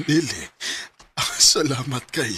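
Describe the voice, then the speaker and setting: A man's voice: on the high side, big pitch swings, drawn-out words, bright, forceful tone, a little hiss or hum, exaggerated voice. Hesitant lovelorn Cebuano man. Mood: tender, nervous. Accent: Cebuano Filipino. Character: lovelorn Filipino man, loyal, shy and earnest. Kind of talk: Bisaya radio drama acting.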